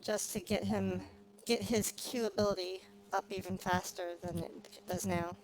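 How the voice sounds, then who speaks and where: A woman's voice: mid-range voice, fairly even intonation, normal speed, a little hiss or hum, rough recording. A woman streaming a game with casual commentary, thinking aloud in a rambling, hesitant way. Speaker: streamer, vtuber host. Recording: game music playing behind the voice. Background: video game music.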